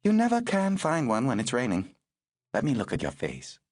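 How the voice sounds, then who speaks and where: A male narrator voicing a brisk, wry aristocratic older woman who talks in a clipped, commanding way. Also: medium pitch, wildly swooping pitch, a little mellow, no echo, clean studio sound, pitched above the performer's own voice.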